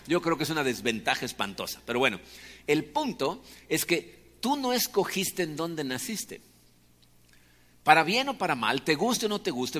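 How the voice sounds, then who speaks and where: Mexican preacher in a reverberant hall. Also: male voice, mid-range voice, fairly bright tone. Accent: Mexican Spanish. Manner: chatty, asks rhetorical questions. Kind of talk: conversational sermon.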